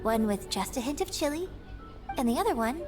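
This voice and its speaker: A high-voiced young female opera star in a video game, composed and courteous. Her phrasing is measured and refined, heard a little off the mic over a clear music bed. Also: lively intonation, distant mic. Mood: relaxed, pleased.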